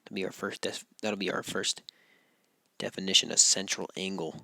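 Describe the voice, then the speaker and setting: Gravelly-voiced male math teacher, losing his voice. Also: deep voice, normal speed, no room sound. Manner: relaxed, repeats points and checks with "right?". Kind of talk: recorded classroom walkthrough.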